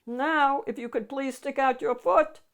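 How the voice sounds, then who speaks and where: A woman's voice: sits high, fairly even intonation, measured pace, voice pushed up. A woman voicing a hungry male storybook monster, growly and fond, with politely playful menace.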